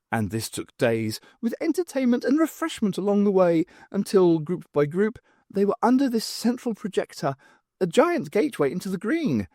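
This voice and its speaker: Audiobook alien, ancient male rebel poet, last survivor, high lilting delivery, rambling and wistful, performed by a man. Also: a little mellow, dry recording, studio quality.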